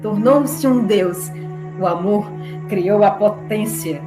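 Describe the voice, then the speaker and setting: A sentimental, romantic storyteller played by a woman gives dramatic radio-drama narration. She, and music is loud behind her. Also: medium pitch, takes it slowly, lightly acted, low-quality recording.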